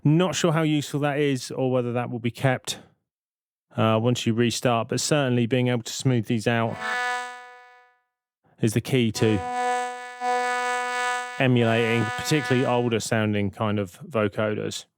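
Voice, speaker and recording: A man narrating a software tutorial in an informal, explanatory way, thinking aloud as he goes. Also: mid-range voice, fairly even intonation, normal speed, pauses often, noisy background. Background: synth and vocoder demo sounds.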